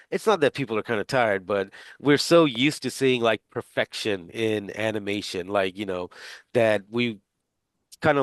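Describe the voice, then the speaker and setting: A man's voice: medium pitch, clean tone, dry recording. A middle-aged man teaching a class over a video call, talking casually with lots of fillers and restarts. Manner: rambling, many fillers and restarts. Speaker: instructor.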